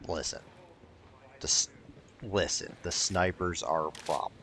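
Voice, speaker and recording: A man with a low, fry-heavy voice commenting casually on his game. He rambles, swears and jokes as he plays, with some game noise behind him. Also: fairly even intonation, unhurried, fairly bright tone. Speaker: gaming YouTuber.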